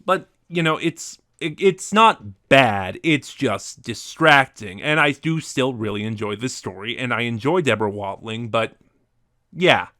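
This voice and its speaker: A man speaks as a reviewer in a casual, conversational style. His delivery is a little halting, as if working out his thoughts aloud, and the sound is dry with little room in it. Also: medium pitch, expressive, bright, forceful tone, dry recording. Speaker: reviewer ranking favorite audio dramas.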